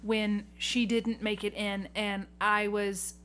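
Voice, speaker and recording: A woman's voice: medium pitch, level tone, slow, a bit bright. A woman in her late thirties hosting a conversational podcast interview, speaking emphatically and repeating words for stress. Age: late 30s. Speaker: podcast host, community theatre director. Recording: noticeable background noise.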